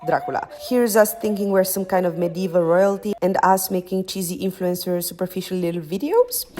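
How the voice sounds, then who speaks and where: A woman's voice: lowish voice, a little fast, a little mellow. A young woman from Romania, narrating a travel vlog in a bubbly, slangy voice-over that swears casually and gushes about everything. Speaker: travel vlogger.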